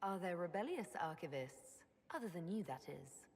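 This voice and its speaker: A woman playing a composed, caring leader of heroes in a video game, speaking in an even-tempered, direct way. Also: lowish voice, moderate pace, husky. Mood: gently teasing. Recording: reverberant hall with background noise.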